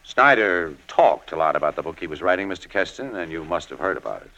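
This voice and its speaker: Low-voiced, hard-edged American newspaperman. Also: expressive, fast talker, pushed and bright, muffled recording, full character voice. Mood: cool, needling. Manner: slangy, cool, needling. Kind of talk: 1940s radio drama acting.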